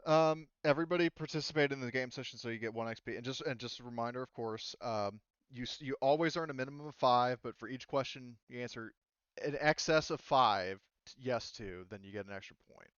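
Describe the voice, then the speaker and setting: A man chatting casually as a game master, explaining things in a halting, conversational way. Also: mid-range voice, fairly bright tone, clean tone, dry recording, dull audio. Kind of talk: casual chat, running a tabletop game session.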